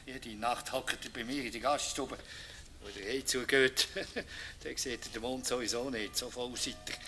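A man playing a gruff, dismissive village innkeeper in a radio play, speaking matter-of-factly. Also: medium pitch, steady tone, moderate pace, bright, forceful tone.

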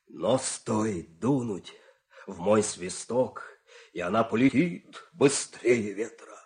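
An actor performing in an audio fairy-tale play as an expressive, theatrical storyteller and coaxing farmer husband. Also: male voice, on the low side. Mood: hushed, confiding.

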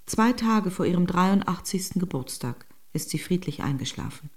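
A daughter-in-law recalls her family in a radio drama monologue. Her female voice sits on the low side, composed and fond, telling anecdotes at an unforced, storytelling gait. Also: animated, normal speed, a little mellow. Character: daughter-in-law fondly recounting family memories.

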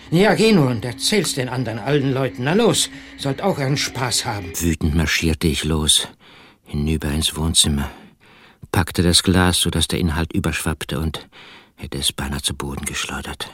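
An actor playing a brooding, wounded village husband in a radio drama, husky and intimate, often slipping into near-whispers. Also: male voice, deep voice. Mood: bitter, angry. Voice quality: husky, hushed delivery. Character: brooding, wounded village husband narrating his own story. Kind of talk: German radio drama, first-person narration and dialogue.

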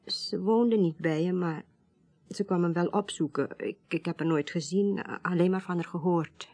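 Hesitant, mellow-voiced widow. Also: female voice, lowish voice. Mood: insistent, troubled. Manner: hesitant, halting, polite. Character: estranged widow, reserved but insistent, seeking answers. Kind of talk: naturalistic Dutch radio drama acting.

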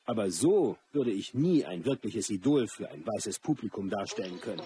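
A man's voice: fairly low pitch, expressive, moderate pace, slight background noise, lightly acted. An actor playing an ambitious, frustrated Chinese martial arts film star who recalls his career in a radio play. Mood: disillusioned. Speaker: actor playing a biographical figure. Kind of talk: first-person radio play monologue. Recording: loud music under the voice.

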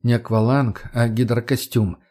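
A man's voice: mid-range voice, little pitch movement, moderate pace, darkish tone, close to an everyday voice. A man playing an irritable, pedantic, weary gang boss in an audiobook, dry and sarcastic.

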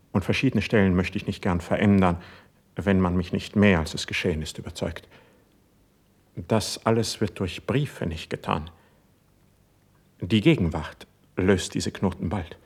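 A man acting a proud, wounded and stubborn court poet in a classical verse radio play, speaking in formal, measured declamation with a husky voice. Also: sits low, a little mellow, heavily acted.

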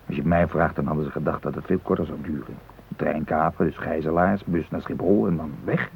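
An actor playing a practical, caring male hostage in a radio drama, talking in a measured, reasoning way. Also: a bass voice, fairly even intonation, vocal fry, narrow, tinny audio, a little hiss or hum. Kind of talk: naturalistic radio drama acting.

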